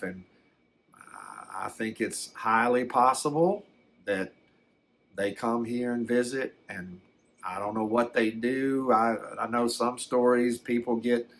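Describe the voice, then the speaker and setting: An older man telling a personal story in a casual, unscripted way, halting and repeating words as he reflects. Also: medium pitch. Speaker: storyteller recounting a personal experience.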